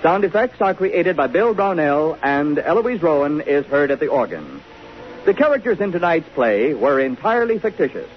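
A man's voice: a little high, sing-song, normal speed. An American man announcing in scripted 1950s radio style, reading out formal programme credits. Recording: muffled, hissy old 1950s radio recording.

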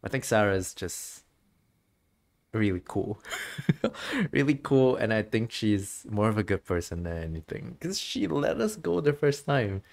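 A streamer giving casual, chatty commentary while playing a game, musing out loud in an informal way. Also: mid-range voice, sing-song, unhurried, gravelly. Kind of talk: casual game livestream commentary, reading dialogue aloud.

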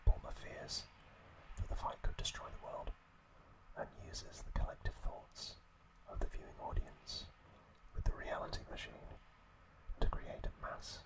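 A man whispering breathily as an ASMR host, recapping episodes in an informal, halting way. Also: poor audio. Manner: slow, halting, informal explaining.

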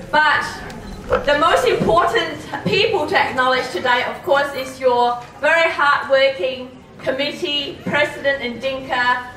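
A woman with a high voice speaks into a handheld mic on a club hall stage, measured and earnest, English being her second language. The sound is echoey and distant, caught by a camera far back with a faint hiss. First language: not English. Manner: measured, earnest, with some hesitations. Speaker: politician giving a speech. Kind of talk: formal ceremony speech.

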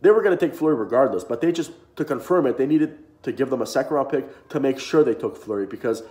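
A man's voice: medium pitch, expressive, fast talker, a little mellow. A young man with a stuffy, sick-sounding voice giving casual hockey commentary to camera from his garage, rambling with many fillers. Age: young adult. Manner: rambling, lots of "uh" and "you know". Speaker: hockey vlogger. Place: home garage, indoors. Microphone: built-in camera mic, close front framing. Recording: echoey garage room.

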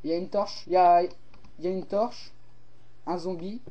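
A man's voice: high-pitched, fairly even intonation, warm tone, highs cut off, slight background noise. A young man from France chatting casually while playing a game, leading the way and fretting about monsters. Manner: quick, guiding, nervous about monsters. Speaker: gamer, co-host of a Minecraft video. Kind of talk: casual gaming chat. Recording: game music behind.

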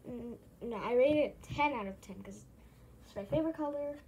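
A woman's voice: fairly high pitch, a little fast, darkish tone, a little hiss or hum, poor audio. A young female vlogger chatting casually and hesitantly, often repeating her phrases, recorded in a room at home. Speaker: vlogger showing a plush toy collection. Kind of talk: casual rambling chat.

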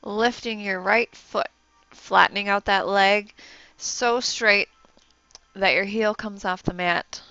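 A soft-spoken young woman, a yoga instructor, giving gentle, encouraging instructions and breathing cues. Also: mid-range voice, steady tone, takes it slowly, clear, resonant tone, no echo, dull audio. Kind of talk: guided yoga class instruction.

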